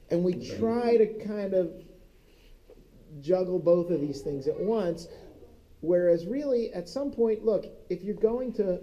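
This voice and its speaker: A man's voice: high voice, muffled recording. A man leading a church Bible study group, teaching conversationally and often asking "right?". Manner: conversational, keeps checking in with "right?". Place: church meeting room.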